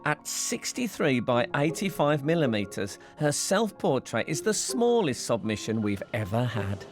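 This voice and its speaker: A man's voice: medium pitch, sing-song, a little slow, faint music behind. A male television presenter who teases guests with jokes and reads scripted narration. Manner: teasing, playful. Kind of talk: scripted narration and jokey banter.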